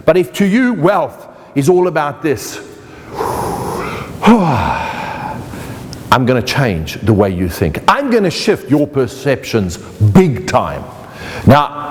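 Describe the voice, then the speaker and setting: A man's voice: medium pitch, darkish tone, big-room sound. A middle-aged man with a South African accent, teaching an online motivational course in a playful way that speaks straight to the viewer.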